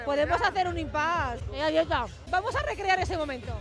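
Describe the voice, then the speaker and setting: A woman's voice: very high-pitched, expressive, a little fast. A woman in her thirties with a Spain accent, doing chatty, playful street interviews as a TV reporter, raising her voice. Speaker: TV reporter interviewing festival-goers. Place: outdoors at a night street festival. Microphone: handheld reporter microphone. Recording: loud festival music and crowd behind.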